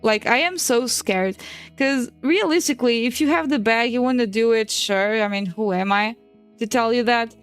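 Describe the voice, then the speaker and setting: A woman's voice: fairly high pitch, normal speed, smooth, faint music behind. A woman in her twenties, a native Portuguese speaker, chatting casually on a stream while she draws, swearing and laughing often. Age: 20s. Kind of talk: casual chat while drawing.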